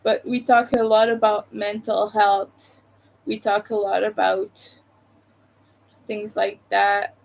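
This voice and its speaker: Slow-talking woman with a Montreal accent. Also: medium pitch, little pitch movement, gravelly, poor audio. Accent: Montreal Quebec. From Quebec, Canada. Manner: rambling, hesitant, many fillers. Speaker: live streamer. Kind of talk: casual live stream chat with viewers.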